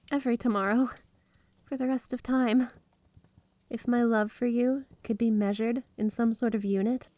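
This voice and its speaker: A woman's voice: medium pitch, a little mellow, no room sound, close to an everyday voice. A woman playing a flirty, obsessively devoted yandere wife in an ASMR roleplay, speaking in a sweet, clingy, gushing way. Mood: adoring, gushing. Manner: sweet, clingy, breathy giggles, gushing affection. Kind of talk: ASMR roleplay, addressing the listener as spouse. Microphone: close studio microphone, dry.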